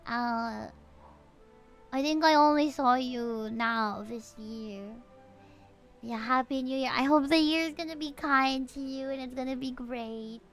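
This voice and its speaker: A woman's voice: high voice, a bit bright. A woman chatting casually as a livestream host, bubbly and effusive with her viewers. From Philippines. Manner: bubbly, effusive, often repeats greetings and thanks. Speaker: VTuber game streamer and host. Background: music.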